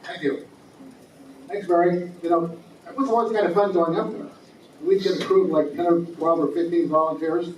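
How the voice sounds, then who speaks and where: A man giving informal announcements at a meeting, halting and full of fillers and restarts. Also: on the high side, steady tone, a little hiss or hum. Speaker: society president. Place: meeting hall. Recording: echoey, distant, muffled room recording.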